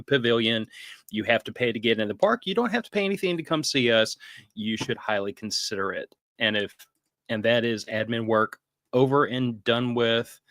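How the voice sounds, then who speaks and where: A man with a gravelly voice and a drawl, hosting a casual podcast chat. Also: medium pitch, a bit bright, no echo. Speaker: podcast host.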